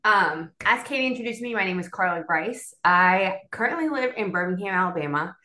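A young adult woman from South Florida, a bilingual Spanish and English speaker, telling her story as a podcast guest in an animated, chatty way. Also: medium pitch, a little fast, echoey room. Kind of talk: casual conversational storytelling.

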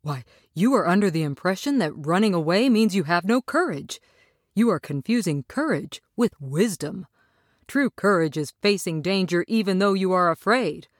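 Wanita memerankan pria tua kecil, penyihir palsu yang malu-malu. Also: female voice, on the low side, lively intonation, moderate pace, no room sound, clean studio sound. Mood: reassuring. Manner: stuttering, sorrowful. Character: little old man, sheepish fraud wizard, kindly and apologetic.

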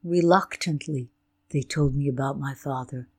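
An older woman whose first language is Ukrainian, telling her family's story in a slow, deliberate, reflective way. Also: rumbling low, warm tone, husky. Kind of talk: personal storytelling talk.

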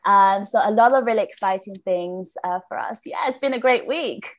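A woman in her late twenties from China, a podcast guest chatting in an upbeat way, laughing often and filling pauses with "um". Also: medium pitch. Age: late 20s. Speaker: podcast guest, business founder. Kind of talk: casual interview chat.

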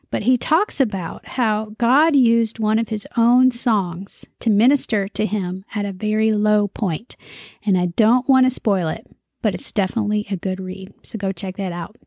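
Upbeat woman podcast host, fairly high voice. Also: darkish tone, dry recording, studio quality. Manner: upbeat, conversational, lightly humorous.

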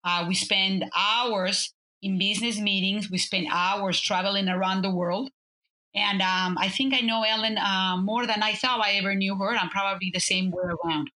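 Bright-voiced Mexican woman telling stories. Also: medium pitch, fairly even intonation, moderate pace, rough recording. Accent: Mexican Spanish. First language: Spanish. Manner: warm, storytelling, many fillers and restarts. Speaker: guest, business consultant. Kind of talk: conversational interview.

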